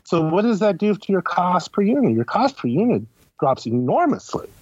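A man with a slightly high voice explains things as an interview guest. He talks conversationally, setting up questions and answering them himself. The audio is dry and a little dull. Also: big pitch swings, moderate pace, darkish tone, husky, no room sound. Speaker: guest, critic and editor. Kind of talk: casual explanatory interview talk.

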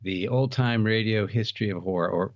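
A middle-aged American man with a low voice chats as an interview guest. He talks in a halting, wandering way, explaining and reminiscing casually. The recording is dry and somewhat muffled. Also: dry recording. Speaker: podcast guest, author and haunted house operator.

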